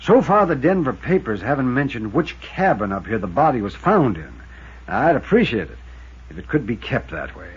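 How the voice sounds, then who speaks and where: A man's voice: mid-range voice, big pitch swings, moderate pace, breathy edge. An American man playing a courteous, slightly fussy cabin owner in 1950s radio drama style. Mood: polite, concerned. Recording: muffled, noisy vintage radio recording.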